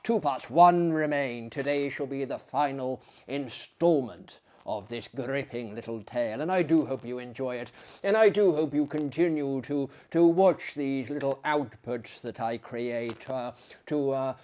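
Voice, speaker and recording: Slow, theatrical male storyteller. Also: a little high, darkish tone. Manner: hammy, florid, self-deprecating. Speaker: performer giving dramatic readings. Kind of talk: theatrical storytelling and dramatic reading.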